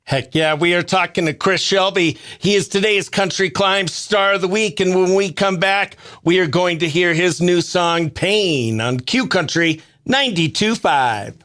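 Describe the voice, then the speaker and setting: A man's voice: high-pitched, unhurried, hardly any pauses, a bit bright. A man hosting a country radio show, interviewing a guest in an upbeat radio style.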